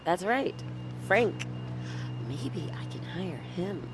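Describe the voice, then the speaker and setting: A woman's voice: on the low side, lively intonation, slow, background noise. An actress playing a troubled, guarded young woman in a noir audio drama, speaking in a cool, wry way. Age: young adult.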